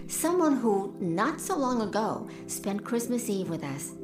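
Lively mission host, echoey room. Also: female voice, medium pitch, measured pace. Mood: welcoming. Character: welcoming female mission host addressing a gathering. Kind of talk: radio drama acting.

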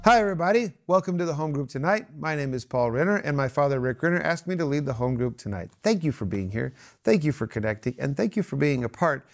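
A man's voice: fairly high pitch, lively intonation, quick pace, few pauses. A man hosting a studio Bible study show, welcoming guests, leading prayer and affirming others with frequent amens. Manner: warm, affirming, says amen often.

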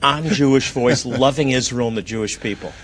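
Slightly husky American male TV host. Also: medium pitch, brisk. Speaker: TV talk show host.

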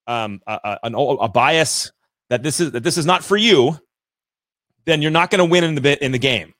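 A man's voice: fairly high pitch, a bit bright, dry recording. A man hosting a podcast interview, talking energetically and effusively with many restarts. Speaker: podcast host. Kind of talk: interview chat.

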